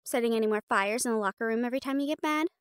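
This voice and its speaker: A woman's voice: on the high side, rapid-fire, clear, resonant tone, no room sound, studio quality, lightly acted. A woman voicing a snobby, catty teenage catgirl cheer captain in an audio roleplay, talking in a haughty, teasing and bossy way.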